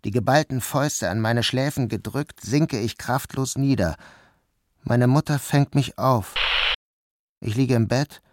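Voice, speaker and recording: A man's voice: medium pitch, measured pace. A man narrating a radio play in the first person, with an inward, dreamy delivery. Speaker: narrator and lead actor.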